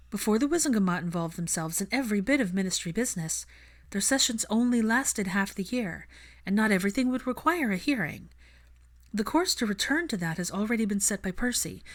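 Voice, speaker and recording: A woman's voice: medium pitch, close to an everyday voice. A woman voicing a determined, caring heroine in an audiobook, earnest and insistent as she persuades.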